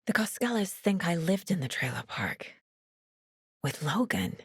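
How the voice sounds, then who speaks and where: A serious, focused female federal agent in a video game, with a lowish voice and a very dry sound. She talks in a matter-of-fact, naturalistic way, like someone working through a case. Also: big pitch swings, a little slow, breathy edge, no room sound, exaggerated voice. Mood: focused, brooding. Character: determined, focused female FBI agent and worried mother. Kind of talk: naturalistic game voice acting, inner monologue and dialogue.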